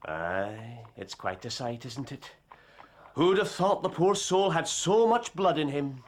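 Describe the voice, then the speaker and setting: A man's voice: on the high side, lively intonation, slow, background noise. An actor playing a kindly, talkative working-class policeman in a detective radio play, with a folksy manner. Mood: confiding, relishing. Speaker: actor in an audio drama.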